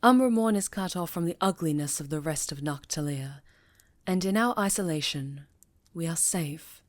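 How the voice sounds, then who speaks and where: A woman playing a stern, commanding witch who leads a coven, speaking formally and with measured authority in an ASMR fantasy roleplay. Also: low-pitched, lively intonation. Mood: grave, measured. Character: stern, commanding female high enchantress leading a witch coven.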